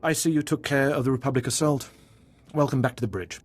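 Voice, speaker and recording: An actor playing a gruff, pragmatic warship captain in a video game, firm and commanding. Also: male voice, medium pitch, fast, faint music behind, natural voice. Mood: composed.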